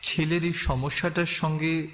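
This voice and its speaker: A man's voice: fairly high pitch, little pitch movement, a little slow, a bit bright. A man narrating a scripted suspense audio story as the storyteller. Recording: long reverb, clear background ambience noise.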